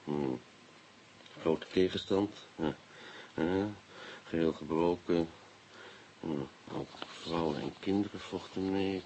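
An actor playing a shrewd police inspector in a radio play, asking clipped, politely insistent questions and muttering to himself. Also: male voice, sits low, takes it slowly, dark tone. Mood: musing, muttering. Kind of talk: Dutch radio drama acting. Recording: dull, noisy old radio recording.

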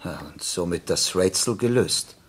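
A man acting in a radio play as a detective's attentive companion, thinking aloud and questioning hesitantly in a low, husky voice. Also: animated, measured pace, heavily acted. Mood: puzzled, curious. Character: detective's attentive, thoughtful male companion, questioning and following along.

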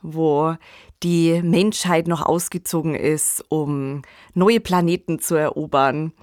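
A woman podcast host with a fairly low voice talks in a reflective, analytical way, phrasing haltingly. The sound is dry and close to the microphone. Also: steady tone, a little mellow, no echo. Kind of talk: conversational analytical discussion.

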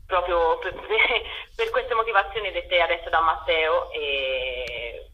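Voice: mid-range voice, slow, breathy edge, lo-fi sound. A young theatre director answering interview questions hesitantly, searching for words as they go.

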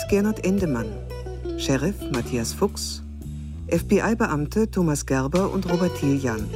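An announcer reading radio drama credits in a scripted style. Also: mid-range voice. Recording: studio voice over plucked guitar music.

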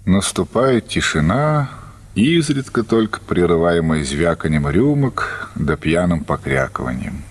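A male storyteller narrates a radio drama in a low voice. His scripted literary reading moves with a very varied, lively intonation. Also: measured pace, slightly rough.

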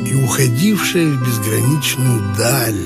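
A man's voice: mid-range voice, a little slow, over loud music, lightly acted. A man narrating a literary story as part of a radio play.

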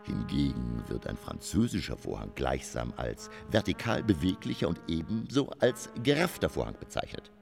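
Eccentric theatre lecturer, radio drama, very low voice, hugely varied delivery, pompous, declamatory, irascible. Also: male voice, sing-song, normal speed, vocal fry, hardly any pauses, darkish tone, faint music behind, heavily acted. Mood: pedantic, irritated. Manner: pompous, declamatory, rambling, swinging from muttering to bellowing. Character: eccentric, irascible male theatre lecturer obsessed with stage curtains.

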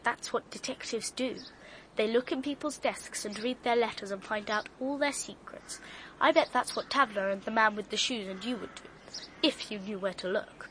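Radio drama: precocious, smug young girl played by a woman, high-pitched, childlike bluntness, slight background noise. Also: normal speed, fairly bright tone, natural voice. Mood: smug, secretive. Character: precocious, secretive young girl playing detective.

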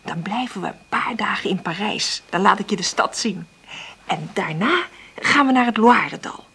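An actress playing an insecure, tender single mother in a radio play, hesitant and thinking aloud in a breathy voice. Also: mid-range voice, animated, normal speed, a bit bright, full character voice. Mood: nervous, hopeful. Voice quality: breathy, often half-whispered. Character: insecure, struggling divorced mother, tender and nervous. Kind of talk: naturalistic radio play acting with intimate monologue.

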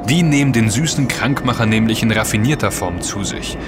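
A man's voice: fairly low pitch, fairly quick, over loud music, lightly acted. An actor playing an earnest, knowledgeable man who explains and warns emphatically in a scripted audio drama. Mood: explaining. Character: earnest, knowledgeable man warning his friends.

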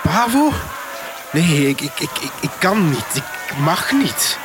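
A sanctimonious Flemish priest in a gothic comedy radio play. His voice is high and dramatic, slowly delivered, over a noisy background. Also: sing-song. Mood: agitated. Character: pious, preachy male priest, flustered and scolding. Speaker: actor in a radio serial.

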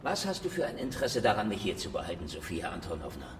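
An actor playing a battered, stubborn detective in a noir radio drama, terse and sardonic. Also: male voice, deep voice, huge pitch swings, fast talker, exaggerated voice. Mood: accusing, insistent. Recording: echoey room with faint noise.

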